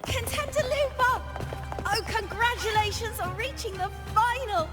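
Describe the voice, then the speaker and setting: An actress playing an excitable, star-struck young fan in a video game, gushing with breathless admiration. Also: female voice, very high-pitched, measured pace. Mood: thrilled. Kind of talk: English game voice acting. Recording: music behind the voice.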